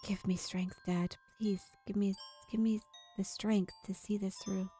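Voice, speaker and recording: A woman's voice: medium pitch, little pitch movement, a little slow, dark tone, soft background music, voice pushed down, lightly acted. A woman voicing an earnest, polite young heroine in a fantasy visual novel. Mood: pleading.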